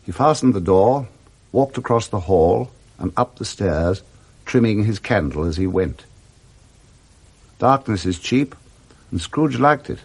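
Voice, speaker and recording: Male narrator, dramatic literary storytelling, deliberate pace and wide expressive range, slightly husky, suspenseful phrasing. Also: lowish voice.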